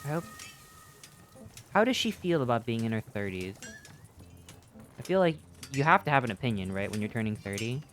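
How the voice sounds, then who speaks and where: A streamer in their thirties chatting casually over a video game, profane and slangy, with a self-deprecating, animated way of riffing. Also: mid-range voice, moderate pace, pauses often, a little hiss or hum. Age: 30s. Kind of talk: casual livestream chat over gameplay, reading and answering viewer comments. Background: game music.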